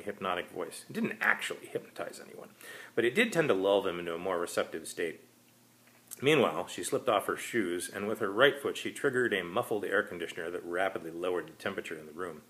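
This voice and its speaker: A man with a fairly low voice narrates a short story. He reads scripted prose aloud as its author. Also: lively intonation, fast talker, fairly bright tone. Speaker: author reading his own story.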